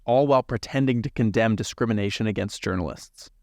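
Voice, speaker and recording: A man's voice: on the low side, fast, creaky, darkish tone. A man hosting a news show, narrating scripted commentary. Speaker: host, commentator.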